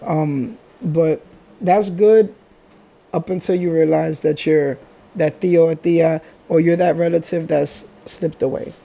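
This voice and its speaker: A man's voice: fairly high pitch, fairly even intonation, slow pace, gravelly, mellow. A middle-aged man from the Bronx hosting a podcast, talking in a reflective, rambling way and laughing often. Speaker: podcast host. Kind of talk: casual podcast chat.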